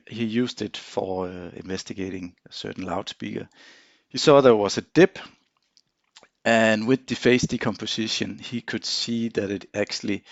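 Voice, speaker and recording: A man talks through a technical demonstration on screen in an informal, hesitant way, stopping often to find the next phrase. His voice has a noticeable creak. The sound is very dry and a little dull, like a close voice-over in a small room. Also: lowish voice, no room sound. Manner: halting, hesitant explaining with frequent pauses. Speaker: engineer presenting a software tutorial.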